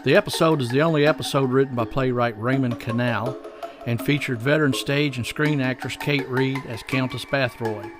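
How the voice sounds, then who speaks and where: A man's voice: mid-range voice, moderate pace. A man hosting a podcast, reading scripted introductions and promotional copy. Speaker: podcast host. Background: faint music.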